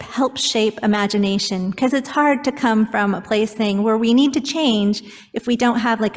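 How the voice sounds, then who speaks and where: A woman's voice: medium pitch, a little mellow. A woman poet giving a thoughtful panel talk, with wry asides and self-corrections. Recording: long hall reverb.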